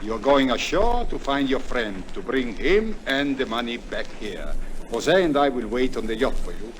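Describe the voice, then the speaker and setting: An actor playing a courteous but menacing general in an old radio drama, formal and coolly threatening. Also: male voice, medium pitch, very animated, normal speed, natural voice. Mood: smooth, commanding. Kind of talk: 1950s radio drama acting. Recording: noisy vintage radio recording.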